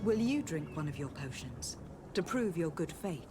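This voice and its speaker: A woman playing a shrewd, skeptical adventurer in a video game, with measured, formal, challenging questioning. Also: deep voice, big pitch swings, music in the background. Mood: cool, doubtful.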